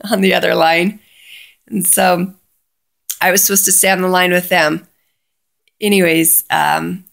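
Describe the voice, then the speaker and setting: A woman's voice: mid-range voice, vocal fry, a bit bright. A woman casually telling a personal story in a chatty way, often quoting both sides of a conversation.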